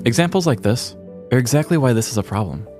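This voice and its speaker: A man's voice: medium pitch, expressive, fast talker, darkish tone, faint music behind. An American man hosting a podcast, reading a scripted comedic story with arch, theatrical mock-seriousness. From United States. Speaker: podcast host.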